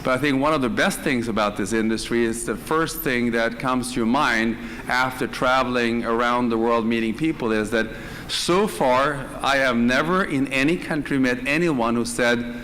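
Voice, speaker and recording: A man from northern Sweden with a Swedish accent, telling his story on a conference panel in a hesitant, pausing way. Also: medium pitch, fairly bright tone, long reverb tail, poor audio. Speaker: conference panelist, direct selling executive. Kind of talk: unscripted personal storytelling on a panel. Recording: live conference room, clear background noise.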